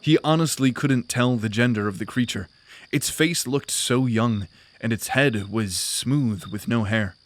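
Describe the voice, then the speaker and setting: A man narrating a fantasy audio drama in a measured, descriptive storytelling manner. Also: medium pitch, no room sound.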